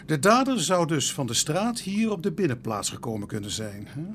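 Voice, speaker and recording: An actor playing a world-weary police inspector in a radio play, with a dry, teasing, sighing manner. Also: male voice, on the high side, big pitch swings, moderate pace, fairly bright tone, a little hiss or hum. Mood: businesslike. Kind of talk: Dutch radio play acting.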